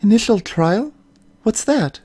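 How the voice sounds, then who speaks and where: A woman voicing a perky, curious, excitable young female legal assistant in a video game. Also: medium pitch, slow, slight background noise. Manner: excitable, wide-eyed questioning. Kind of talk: fan voiced visual novel game dub.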